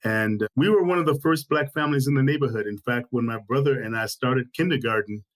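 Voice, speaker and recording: Man, 50s, measured, earnest, articulate, interview guest. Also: medium pitch. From Chicago. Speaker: anti-racism trainer, guest. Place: home, during lockdown.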